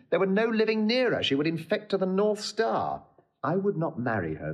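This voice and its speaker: An actor playing a witty, proud bachelor lord in a theatrical, blustering Shakespearean style. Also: male voice, medium pitch, lightly acted. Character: witty wounded-proud young bachelor lord, railing at a lady. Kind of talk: classical Shakespeare stage acting.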